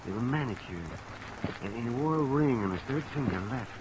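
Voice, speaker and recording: An actor playing a fussy, courteous witness with a falsetto-like voice, precise and eager to please, in 1950s radio style. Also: male voice, animated, brisk, natural voice. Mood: eager, polite. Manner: precise, effusively polite. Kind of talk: 1950s radio drama acting. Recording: old radio recording under heavy rain noise.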